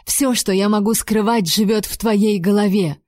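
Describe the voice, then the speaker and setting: A woman's voice: medium pitch, moderate pace, dark tone, dry recording, studio quality, close to an everyday voice. A woman reading an audiobook, voicing a proud, fiery young woman who hurls heated, emphatic accusations. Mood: indignant. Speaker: heroine voiced by the narrator.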